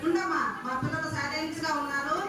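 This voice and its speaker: A woman's voice: high voice, fairly even intonation, fast, very noisy. A woman hosting a live children's program, talking simply to puppets and children and prompting them to reply. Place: large church hall. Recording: very echoey hall, far mic, loud crowd.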